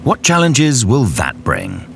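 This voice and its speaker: A male narrator reading a scripted promotional voice-over with measured delivery. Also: medium pitch, wildly swooping pitch, gravelly, music in the background.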